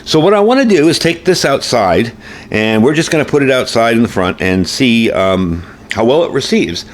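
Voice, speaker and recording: A man's voice: mid-range voice, animated, moderate pace. A middle-aged American man giving a casual, informal product review, explaining things as he goes. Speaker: gear review host. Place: indoor workbench, later outdoors by the house.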